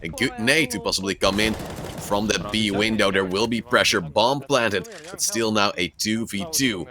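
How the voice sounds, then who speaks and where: A man's voice: lowish voice, moderate pace, pushed and bright. A man casting an online shooter tournament, giving play-by-play with chatty, joking asides. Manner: chatty, self-deprecating, jokey asides. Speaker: esports caster. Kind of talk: esports play-by-play commentary. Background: players' voice chat in another language.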